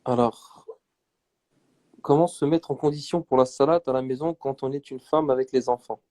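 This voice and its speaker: A man's voice: mid-range voice, fairly even intonation, moderate pace, warm tone. A man asking a plain question.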